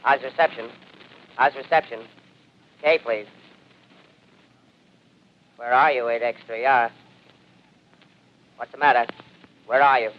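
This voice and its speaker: A man's voice: mid-range voice, steady tone, slow, long pauses, close to an everyday voice. An actor playing a radio operator who anxiously repeats his calls in a 1930s radio drama. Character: male amateur radio operator calling out. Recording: dull distant old radio broadcast with faint noise.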